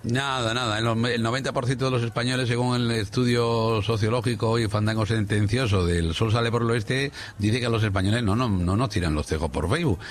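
A man's voice: medium pitch, brisk, few pauses. A man with a Spanish accent from Spain chatting casually and humorously on the radio, rambling, repeating himself and checking for agreement. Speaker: radio show contributor.

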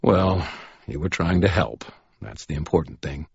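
A man doing a calm, gentle contractor. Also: rumbling low, vocal fry, breathy edge, dry recording, muffled recording, full character voice. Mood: amused. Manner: quiet, measured, kind. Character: calm steady middle-aged male contractor, gentle and principled.

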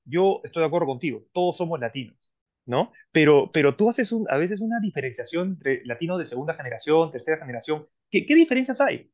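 A man hosting an interview program, speaking in a measured way with drawn-out phrases and frequent fillers. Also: on the high side. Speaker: host.

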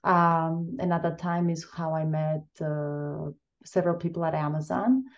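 Low-voiced woman from Albania. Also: fairly even intonation, lo-fi sound. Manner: reflective, with frequent "uh" pauses. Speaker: guest. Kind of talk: interview storytelling.

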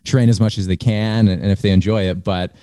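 A man hosting a podcast, reading a measured scripted introduction and then interviewing his guest, with small restarts. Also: fairly low pitch, steady tone, mellow, no echo, studio quality. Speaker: podcast host.

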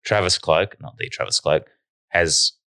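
A man co-hosting a podcast, deadpan and a bit nasal, telling a mock-serious tall tale. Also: low voice, unhurried, no echo. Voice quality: nasal at times. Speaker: podcast co-host. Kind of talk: casual podcast banter.

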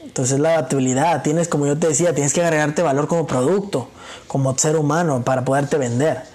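A young man from Mexico, a podcast guest talking casually and filling pauses with "este" and "vaya". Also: on the high side, a little mellow, breathy edge. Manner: chatty, many fillers like "este" and "vaya". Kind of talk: casual conversation.

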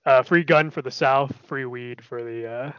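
A man hosting a casual podcast, putting provocative questions to his guests with deadpan jokes. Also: mid-range voice, normal speed, clean tone, dry recording, lo-fi sound. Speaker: podcast host.

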